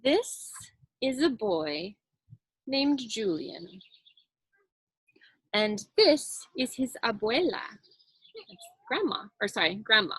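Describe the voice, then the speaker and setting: A woman's voice: a little high, sing-song, slow, long pauses, fairly bright tone, slightly rough, no echo, low-quality recording. A woman leading a children's sign language storytime, demonstrating and explaining as she goes. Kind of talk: sign language storytime lesson with singing and read-aloud.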